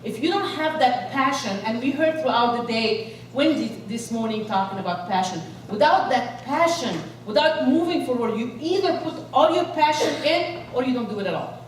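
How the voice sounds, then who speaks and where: A woman from Egypt giving an energetic inspirational speech to a live audience, rallying them with questions. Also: fairly high pitch, normal speed, hardly any pauses, slight background noise. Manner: energetic, rallies the crowd with questions. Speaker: motivational speaker. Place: large event hall. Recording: echoey hall, voice from far off.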